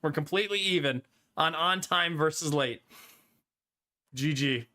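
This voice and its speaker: A young adult man streaming live, chatting casually with his viewers, animated and talkative, often laughing. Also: on the high side, a little slow.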